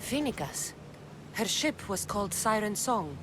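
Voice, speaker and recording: A woman's voice: medium pitch, big pitch swings, measured pace, background noise. Determined woman warrior. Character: fierce, protective Greek mercenary woman hero. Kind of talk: dramatic video game acting. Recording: dramatic music behind the voice.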